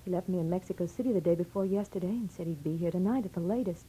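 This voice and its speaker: An actress playing an anxious, lovestruck girlfriend in a 1940s-style radio detective drama, with a soft, breathy voice. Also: fairly low pitch, very fast, slight background noise, close to an everyday voice. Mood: worried. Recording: dull-toned old radio drama.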